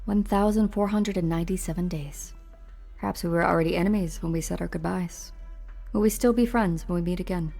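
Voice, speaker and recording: A woman voicing a wistful, weary elf warrior in an amateur fan dub, reflective and quiet. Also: fairly low pitch, a little fast, gravelly, soft background music.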